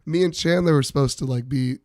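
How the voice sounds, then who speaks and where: A young man, a musician guest, chatting loosely with many fillers and pauses. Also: a little high, no room sound, clean studio sound. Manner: relaxed, hesitant, many fillers. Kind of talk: casual interview chat.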